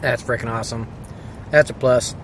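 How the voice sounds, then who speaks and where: A man in his thirties chats to the camera from inside a tent at night, casual and quick to exclaim. He cracks jokes as he goes. Wind and outdoor noise come through clearly behind him. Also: mid-range voice. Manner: excitable, exclaiming, joking. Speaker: camping vlogger. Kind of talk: casual solo vlog narration.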